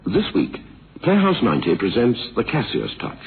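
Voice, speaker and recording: A man doing measured, dramatic scripted announcing for a radio drama series in a portentous manner. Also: on the low side, huge pitch swings, gravelly. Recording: tinny, phone-like old radio recording. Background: music.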